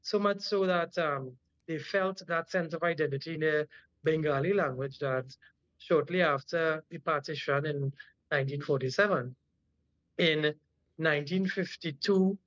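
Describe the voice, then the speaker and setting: A man with a Trinidadian accent and a fairly high voice, explaining things as an interview guest. He pauses often mid-sentence as he builds his points. The sound is dry and somewhat dull. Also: a bit bright, no echo. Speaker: interview guest, cultural activist.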